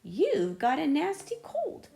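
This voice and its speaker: A woman voicing a friendly, excitable little male pig in a children's storybook, exclaiming in a sing-song manner. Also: high-pitched, slow, gravelly, echoey room, soft background music, voice pushed up, exaggerated voice. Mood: concerned.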